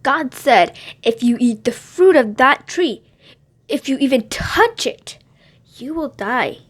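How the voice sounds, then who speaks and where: The first woman of a children's Bible story, earnest and a little worried, voiced by a woman. She has a high voice. She talks simply and sincerely. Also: animated, takes it slowly, exaggerated voice. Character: first woman, curious and then frightened.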